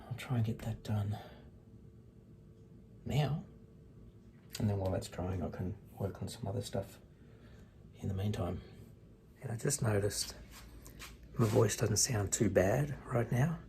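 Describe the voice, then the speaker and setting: A middle-aged man with a hoarse voice he is losing, talking plainly and matter-of-factly in a casual vlog. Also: on the low side, measured pace, long pauses, warm tone. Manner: plain, matter-of-fact talk with restarts. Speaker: vlogger. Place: in a car, then outdoors in a yard. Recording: noisy car and outdoor background.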